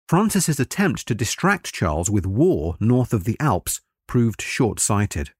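Man lecturing with a lively, varied pitch. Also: dry recording, clean studio sound. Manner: formal, measured, scholarly phrasing. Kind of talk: scripted historical lecture narration.

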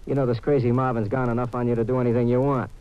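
An actor playing a wry, slangy hardboiled American adventurer in a 1950s radio detective drama. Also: male voice, mid-range voice, little pitch movement, racing, clean tone, natural voice. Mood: sardonic. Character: wisecracking hardboiled Yankee adventurer narrating his own scrape. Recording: muffled old radio recording, music behind.

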